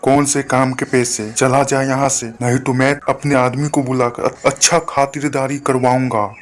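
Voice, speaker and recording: A man's voice: medium pitch, level tone, unhurried, soft background music, voice pushed down, close to an everyday voice. Casting: man playing a haughty, dismissive landlord for a cartoon moral tale, scolding and contemptuous. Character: harsh, dismissive male landlord boss.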